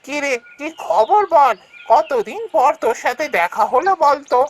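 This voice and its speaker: A woman voicing a greedy, sly and excitable male village woodcutter in a cartoon fairy tale, with exaggerated delivery. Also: sits high, a little slow. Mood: hearty welcome. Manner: exaggerated, animated.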